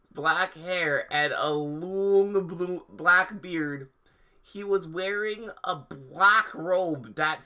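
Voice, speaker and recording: A man's voice: on the high side, sharp tone. A man hosting a livestream, giving a theatrical, mocking dramatic reading of fan fiction with casual asides. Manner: theatrical, mocking delivery that breaks into laughter. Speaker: livestream host.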